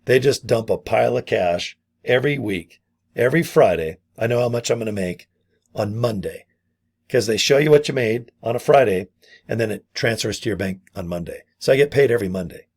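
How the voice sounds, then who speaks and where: A middle-aged man with a hoarse, gravelly voice from a vocal cord injury, casually pitching his online business and often calling his viewers "guys". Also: animated, a little fast, darkish tone. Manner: chatty, repeats "guys" and "okay". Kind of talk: casual sales pitch to camera.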